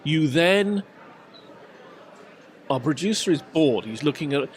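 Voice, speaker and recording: Higher-voiced Englishman telling stories. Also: lively intonation, slow. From England. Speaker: podcast host. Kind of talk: casual anecdotes and mock-instructional chat.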